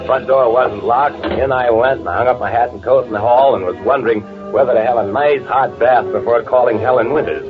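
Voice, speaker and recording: An actor playing a guilty, scheming man in an old-time radio thriller, speaking with a halting, nervously polite, confessional delivery. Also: medium pitch, lightly acted. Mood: elated. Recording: tinny, band-limited old radio recording, music behind.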